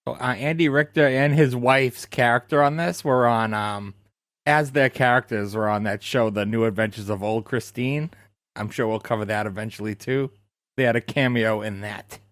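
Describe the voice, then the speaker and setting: A man's voice: medium pitch, fairly even intonation, fairly bright tone, no echo. Podcast guy in his late 30s, medium voice, dry mic, tosses in tv trivia, laughs at his own slips. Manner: quick trivia asides, self-deprecating. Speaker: podcast co-host. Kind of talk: casual chat.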